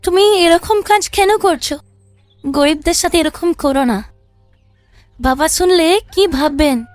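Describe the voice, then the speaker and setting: A woman's voice: extremely high pitch, measured pace, dry recording, faint music behind. A woman voicing an anxious, conscientious young wife in a cartoon, pleading as she speaks. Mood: worried, pleading.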